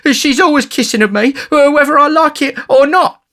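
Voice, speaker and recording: A man's voice: high-pitched, lively intonation, fairly quick, bright, forceful tone, pitched above the performer's own voice, full character voice. A man voicing a tipsy, cowardly young man with a whining manner, as an audiobook character. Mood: blubbering, pleading. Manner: whining, blubbering when cornered.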